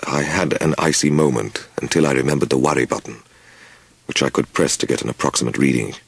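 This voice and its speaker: A man reading a first-person story as an audiobook narrator, with measured, deliberate phrasing and dramatic pauses. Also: extremely low pitch, gravelly, breathy edge, no echo.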